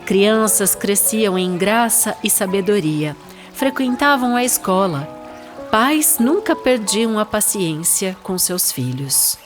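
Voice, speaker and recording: A Brazilian woman narrates a short story in a mid-range voice. Her phrasing is deliberate and expressive, in the style of a dramatized literary reading. Music runs underneath her narration. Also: mid-range voice.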